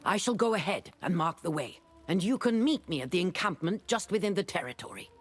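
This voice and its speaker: An actor voicing a brisk, grumbling alchemist scholar in a video game, husky and theatrically exasperated. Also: medium pitch, animated, faint music behind. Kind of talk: video game cutscene dialogue.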